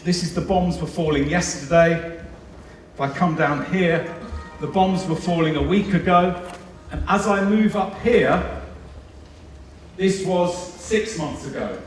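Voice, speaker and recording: A man's voice: on the high side, measured pace, pauses often. A man explaining an idea to an audience, walking through it step by step on a theatre stage. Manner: demonstrative, walks the stage explaining. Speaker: workshop speaker. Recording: echoey theatre from far off, faint noise.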